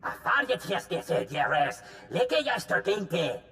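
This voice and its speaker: A man voicing a strange, solemn alien captive in a video game, uttering halting, incantatory phrases in an invented tongue. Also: sits high, big pitch swings, bright, forceful tone, a little hiss or hum, exaggerated voice. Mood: solemn, cryptic.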